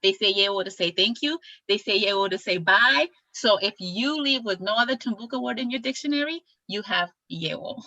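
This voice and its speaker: Brief: young woman host on a video call, bright forceful voice, dry slightly lo-fi sound, upbeat and animated. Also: mid-range voice, brisk, hardly any pauses, no room sound. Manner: upbeat, animated, teaching greetings. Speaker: executive director and event host. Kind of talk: enthusiastic online presentation. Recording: slightly dull lo-fi call audio.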